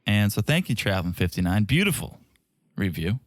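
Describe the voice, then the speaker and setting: A man's voice: on the low side, fairly quick, no room sound, clean studio sound. Playful man co-hosting a podcast. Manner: playful, teasing, animated delivery. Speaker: podcast co-host. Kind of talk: casual comedic chat.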